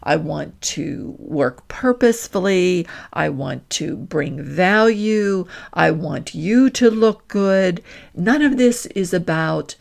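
A woman's voice: on the low side, lively intonation, slow, creaky, a little mellow. A woman working as a career coach and host, explaining directly to the listener in an emphatic, instructional way, with role-played examples.

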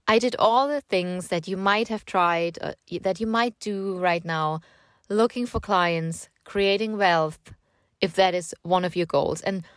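A woman's voice: mid-range voice, no echo, clean studio sound. A woman in her thirties with a slight German accent touched by American and British, hosting a solo podcast in a casual, rambling, chatty way that often repeats words. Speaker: podcast host and writing coach. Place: home in the countryside.

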